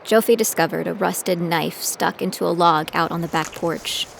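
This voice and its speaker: Young female reluctant fighter in an audio drama, self-doubting and grieving, lowish voice with vocal fry. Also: moderate pace, a little hiss or hum. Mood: somber narration. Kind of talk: scripted audio drama narration and dialogue.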